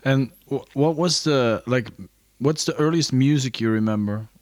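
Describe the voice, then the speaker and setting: A man's voice: mid-range voice, normal speed, no echo. A man hosting an interview, asking questions with many ums and restarts.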